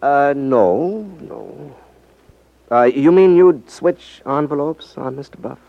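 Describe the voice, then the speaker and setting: An actor playing a sly, cool, confident trickster who feigns innocence in a 1950s radio drama. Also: male voice, on the high side, slow pace, creaky, no room sound. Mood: coolly needling. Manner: coy, unruffled, feigns innocence. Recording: muffled 1950s radio recording.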